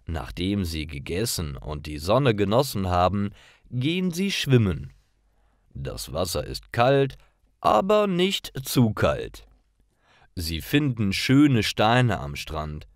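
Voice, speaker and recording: A man narrating scripted stories for language learners in a deliberate, clearly enunciated, expressive way. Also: fairly low pitch, no echo, clean studio sound.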